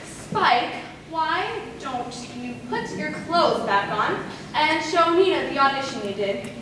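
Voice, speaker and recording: A woman's voice: high voice, lively intonation, slow pace. A young woman playing a vain, jealous older film star in a school stage comedy, theatrical and grandly condescending. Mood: self-satisfied. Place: school stage, recorded from far off. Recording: echoey stage, distant mic, noisy.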